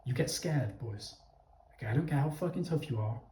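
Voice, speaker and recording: A man's voice: medium pitch, animated, brisk, dark tone, slight background noise. A young man giving a casual opinion monologue to his viewers, blunt, emphatic and sweary, often checking in with "okay?". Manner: addresses listeners directly, with repeated "okay?" checks. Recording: echoey, roomy sound.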